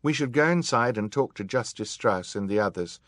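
Guy doing an earnest young girl in a storybook, big sister type, sad but principled, higher pitch. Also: expressive, moderate pace, a bit bright, no room sound, studio quality, natural voice. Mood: troubled, resolute. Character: conscientious, sorrowful eldest sister, a young girl.

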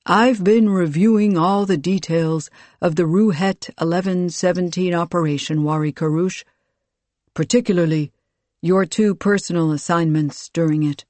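A narrator voicing a towering, commanding military ruler in an audiobook, with a loud, booming delivery and formal, measured speech. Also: steady tone, dry recording, natural voice. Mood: stern, imperious. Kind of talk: audiobook character dialogue.

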